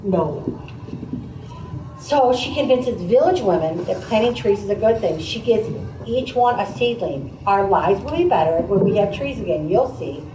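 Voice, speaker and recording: A woman teacher reading a picture book aloud, pausing to comment and put questions to her listeners in a conversational way. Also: medium pitch, lively intonation, warm tone. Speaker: teacher demonstrating a read aloud to pupils. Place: echoey indoor classroom. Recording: roomy, distant mic, faint background noise.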